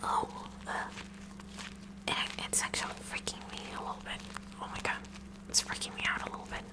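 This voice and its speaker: A woman voicing a kooky, curious student science club president in a whispered ASMR roleplay, musing in a halting, awkward way. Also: natural voice, rough recording. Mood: flustered fascination.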